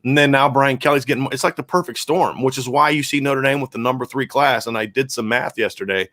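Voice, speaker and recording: A man with a sore, hoarse throat co-hosting a live football podcast, chatting through viewer questions and often restarting his sentences as he thinks aloud. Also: quick pace, fairly bright tone, no echo. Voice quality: deep voice. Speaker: podcast co-host and football analyst. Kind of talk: casual live-stream chat answering viewer questions.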